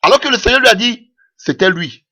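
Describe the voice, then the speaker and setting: A man's voice: very high-pitched, animated, a little fast, bright, forceful tone. A man preaching a sermon in French that is not his mother tongue, impassioned and punctuating his points with "Alléluia".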